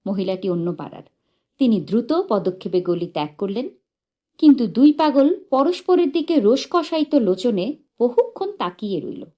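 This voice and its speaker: A woman narrating a humorous short story in an expressive, measured and dramatic storytelling manner. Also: medium pitch. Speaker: audiobook narrator.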